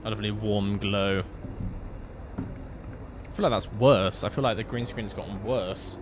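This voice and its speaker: A man's voice: fairly low pitch, steady tone, measured pace, background noise. A young man streaming a racing game, chatting casually and joking with his chat as he reads it. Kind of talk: casual gaming livestream chat.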